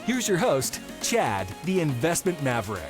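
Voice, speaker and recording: A man's voice: a little high, very animated, moderate pace. A man reading a scripted show intro in a hyped announcer delivery. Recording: announcer voice over music bed.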